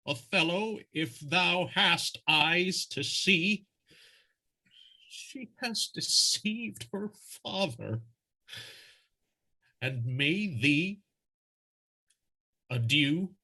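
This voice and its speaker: A bitter older father of noble rank played by a man in a Shakespearean audio drama. The voice is strained, husky and sharp, and it drags very slowly through each halting phrase. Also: high-pitched, expressive, full character voice, low-quality recording. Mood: bitter warning. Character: Brabantio, aggrieved older nobleman and betrayed father.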